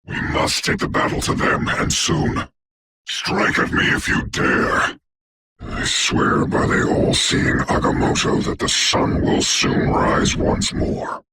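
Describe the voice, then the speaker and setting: An actor voicing a commanding, haughty dark sorcerer for a video game, speaking in a formal, grandiose, deliberate way with a gravelly, breathy voice. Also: male voice, background noise, natural voice. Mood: imperious, dry. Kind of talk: theatrical hero game voice lines.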